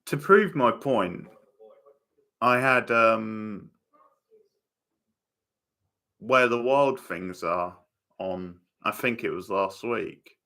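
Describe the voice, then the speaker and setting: A man chatting casually in live commentary on a TV show, halting and trailing off mid-thought. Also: lowish voice, bright, forceful tone. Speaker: watchalong host commenting on a TV show.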